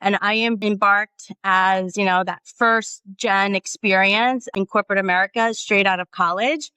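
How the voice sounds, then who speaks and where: A woman's voice: mid-range voice, steady tone, moderate pace, pushed and bright, clear, resonant tone, no room sound, poor audio. A woman from Brazil, a guest in an interview, speaking earnestly and reflectively, often adding "right?" and "you know.". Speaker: guest, nonprofit founder. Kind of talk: conversational interview.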